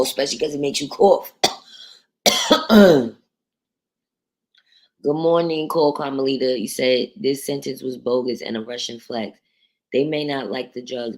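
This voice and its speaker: A woman from New York with a naturally deep voice hosts a casual livestream. She talks bluntly and swears freely, reading viewer comments aloud and answering them in an easy conversational flow. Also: darkish tone, low-quality recording. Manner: blunt, profane and outspoken. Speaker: livestream host.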